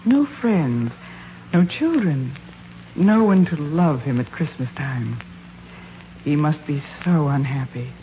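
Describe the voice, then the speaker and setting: An actress playing a soft-hearted, pitying wife, earnest, in 1940s radio drama style. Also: female voice, low-pitched, animated, slow pace. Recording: narrow-band 1940s radio recording, faint noise.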